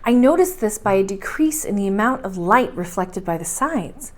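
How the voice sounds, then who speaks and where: A woman reading a story aloud in expressive, scripted narration. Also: medium pitch, normal speed.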